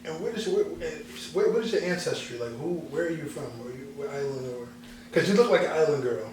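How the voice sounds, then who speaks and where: Guy hosting an interview, rapid and jokey, slangy, echoey room and hum. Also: a little high, expressive. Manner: joking, playful, slangy. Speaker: interview host. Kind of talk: casual interview chat. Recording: echoey room, mic at a distance, background hum.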